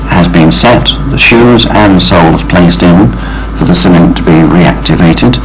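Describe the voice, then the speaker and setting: Male narrator, low register, formal and precise, for a 1970s instructional film with music underneath. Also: unhurried, poor audio. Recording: 1970s training film soundtrack.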